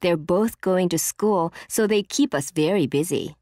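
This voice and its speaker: A woman's voice: on the low side, measured pace, mellow, no echo, studio quality, close to an everyday voice. A woman playing a busy, matter-of-fact mother in scripted small talk for a listening exercise.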